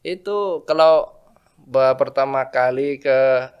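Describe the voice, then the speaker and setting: Vietnamese guy as podcast guest, really clean smooth voice, kinda halting, hunts for words. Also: mid-range voice, highs cut off. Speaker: podcast guest, religious brother studying theology. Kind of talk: casual interview conversation.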